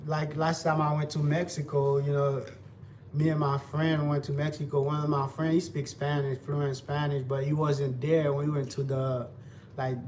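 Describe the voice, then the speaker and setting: Haitian-accented young guy cooking and chatting away, kinda high voice, mic a bit far off. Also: darkish tone. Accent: Haitian Creole. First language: Haitian Creole. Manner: loose, repetitive, talks to viewers while cooking. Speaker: cooking vlogger. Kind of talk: casual live cooking chat. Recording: distant mic with faint background noise.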